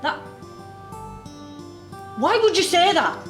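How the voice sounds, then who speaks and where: A woman's voice: very high voice, very animated, slow, fairly bright tone, exaggerated voice. A woman playing a bewildered, sceptical young office worker in an audio drama, blunt and questioning. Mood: sceptical, irritated. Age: young adult. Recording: echoey with music underneath.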